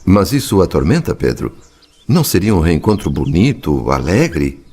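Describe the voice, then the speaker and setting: A man's voice: deep voice, very animated, moderate pace, a little mellow. An actor playing a compassionate, earnest male spirit friend in an audio drama, speaking in a solemn, consoling way.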